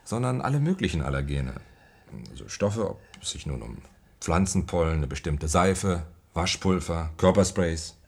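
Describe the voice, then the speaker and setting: Radio play casting: probing, patient physician, raspy edge, animated intonation, hesitant explanatory delivery. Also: male voice, low-pitched, normal speed, exaggerated voice. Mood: patiently probing. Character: patient, probing male hospital doctor, calmly explaining. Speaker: doctor. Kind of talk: German radio play acting.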